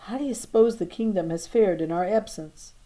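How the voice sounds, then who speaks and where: A woman's voice: medium pitch, fairly quick, warm tone, lightly acted, low-quality recording. A woman reader voicing a young girl who plays a brave queen, speaking in grand, theatrical, old-fashioned phrases for a storybook. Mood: regal, hushed. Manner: theatrical, grand archaic speech. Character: young girl playing a brave, regal make-believe queen.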